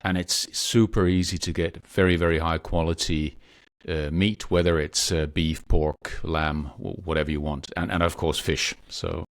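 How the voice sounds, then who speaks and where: Low-voiced man, halting speech. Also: fairly even intonation, no room sound, clean studio sound. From Denmark. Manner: hesitant, many restarts and fillers. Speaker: guest. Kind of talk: conversational interview.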